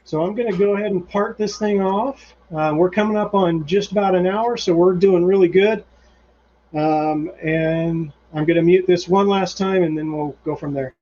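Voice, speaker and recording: A man's voice: high-pitched, normal speed. A man hosting a live woodturning demonstration in his home shop, talking casually with plenty of fillers and restarts. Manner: rambling, many fillers and restarts. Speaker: woodturning livestream host. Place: home woodshop. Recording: muffled lo-fi stream audio.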